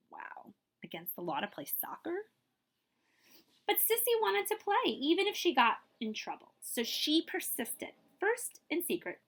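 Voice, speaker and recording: A woman reading a children's picture book aloud in an expressive, emphatic storytelling manner, like a librarian at story time. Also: fairly high pitch.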